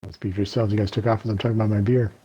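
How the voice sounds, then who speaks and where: A man's voice: on the low side, little pitch movement, warm tone, breathy edge, dull audio. A man as a guest on a gaming stream, joking wryly in an easygoing way. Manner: wry, joking, unhurried. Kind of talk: casual gaming chat.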